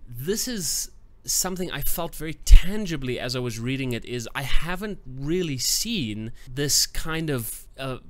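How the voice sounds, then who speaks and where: Man, book reviewer, mid-pitched, musing, then eager. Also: expressive, measured pace, fairly bright tone. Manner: thoughtful, searching for words, then enthusiastic. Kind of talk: casual book review to camera.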